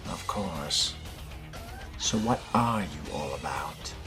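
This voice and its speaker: Casting: male player as inquisitive young vampire newcomer in a game, very low and drawling slowly, cool and low-key. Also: animated, over music, exaggerated voice. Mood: cool, curious. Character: laid-back, curious male fledgling vampire newcomer. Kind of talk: role-play of a video game character.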